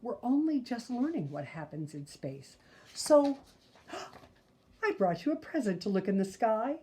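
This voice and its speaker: An older woman reading a children's picture book aloud, phrasing deliberately and adding gentle asides for young listeners. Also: mid-range voice, slight background noise.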